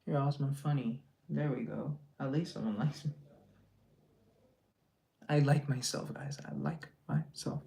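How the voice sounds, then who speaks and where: Teasing man with a gravelly voice. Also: mid-range voice, expressive, measured pace, pauses often, mellow. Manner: teasing, joking, chatty. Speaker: live-stream host. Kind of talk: casual live chat, reading viewer comments.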